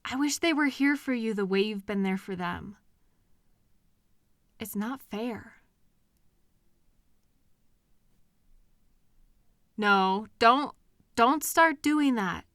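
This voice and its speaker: A woman playing a caring, protective partner in an intimate comfort audio roleplay, speaking gently and earnestly in a reassuring, conversational way with hesitant pauses. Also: on the high side, lively intonation, dry recording, studio quality, heavily acted. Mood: gentle reassurance. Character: caring, protective partner consoling a hurting loved one.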